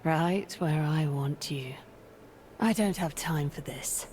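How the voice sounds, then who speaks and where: Cunning game sorceress queen, really slow n measured, low-ish, theatrical, totally sure of herself. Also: darkish tone, a little hiss or hum. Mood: taunting confidence. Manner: measured, theatrical, self-assured. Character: cunning, regal sorceress leading a secret sisterhood. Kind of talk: video game champion voice lines.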